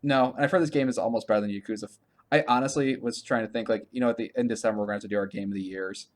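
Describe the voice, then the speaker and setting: A man's voice: mid-range voice, steady tone, racing, a little mellow. A man hosting a video game podcast, chatting casually about gaming news in a rambling, stammering way and often repeating himself.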